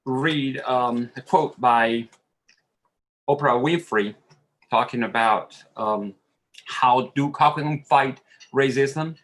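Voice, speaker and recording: Slow-speaking man. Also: mid-range voice, a bit bright, rough recording. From Dominican Republic. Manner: halting, searching for words. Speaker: panelist. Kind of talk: earnest roundtable statement.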